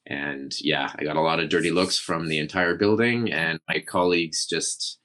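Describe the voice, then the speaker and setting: A man with a very low voice that still sounds bright and forceful. He tells a long story in a casual, rambling way and pokes fun at himself. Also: moderate pace. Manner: rambling, self-deprecating. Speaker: guest storyteller.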